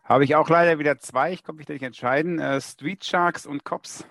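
A man's voice: a little high, steady tone, normal speed, gravelly, a bit bright, dry recording, studio quality. A man co-hosting a podcast, chatting casually in short, blunt answers. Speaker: podcast co-host.

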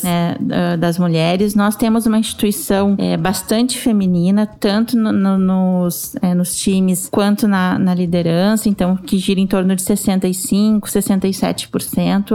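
A woman speaks as a podcast guest, laying out her organization's program at a measured pace. She keeps adding little tag questions as she talks. Her pitch sits in an ordinary middle range. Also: darkish tone. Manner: measured, frequent "né" tag fillers. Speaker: guest, corporate diversity program lead. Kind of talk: explanatory interview answers.

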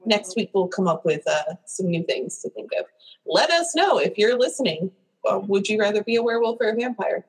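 A woman's voice: medium pitch, expressive, a little fast, slightly rough, poor audio. A woman co-hosting a podcast, chatting casually and laughing as she comments on a movie. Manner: chatty, laughs often, asides to the screen. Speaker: podcast co-host.

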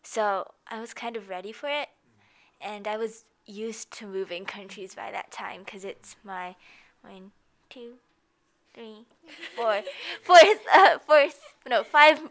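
A woman's voice: on the high side, slow pace, a bit bright. A woman whose first language is Japanese, chatting casually in English as a podcast guest, laughing often and using loose fillers. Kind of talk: casual chat.

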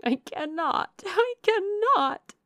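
A woman's voice: very high voice, lively intonation, slow pace, fairly bright tone, no room sound, studio quality, voice pushed up, exaggerated voice. A woman narrator voicing an anguished, heartbroken young woman from a classic novel, passionate and exclaiming wildly. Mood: anguished, bitter. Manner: wild, exclaiming, lamenting.

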